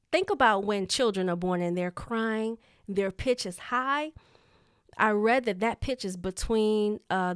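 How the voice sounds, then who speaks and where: A woman who is a leadership coach, talking casually and deliberately, repeating key points for emphasis in a voice she has trained to sit lower than its natural high pitch. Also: smooth, no room sound, studio quality. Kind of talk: casual talk to camera.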